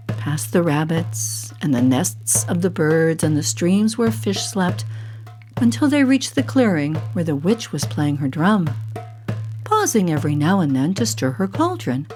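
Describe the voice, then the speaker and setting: A woman narrates a children's storybook with theatrical care. Her pitch moves widely and her pace is slow and deliberate, with soft music behind her. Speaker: storyteller, voice actor.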